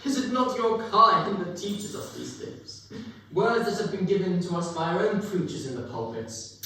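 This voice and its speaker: A man's voice: high voice, normal speed, bright, forceful tone. Tormented old miser voiced by a teen boy, formal and imploring, stage reading, heard from afar in a ringing room. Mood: humbled, curious. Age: teens. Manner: imploring, formal Victorian phrasing. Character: tormented old miser man, pleading and humbled. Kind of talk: live dramatic stage reading. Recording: very echoey hall, distant mic, faint noise.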